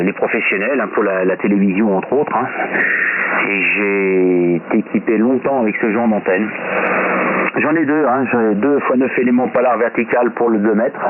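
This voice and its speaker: A man with an accent from France talks over amateur radio in a casual, rambling technical monologue. His phrases often end in a small questioning tag. The sound is narrow like a telephone, with clear static and noise around the voice. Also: lowish voice. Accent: French from France. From France, Paris region. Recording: noisy, phone-like shortwave radio transmission. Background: loud radio static and noise.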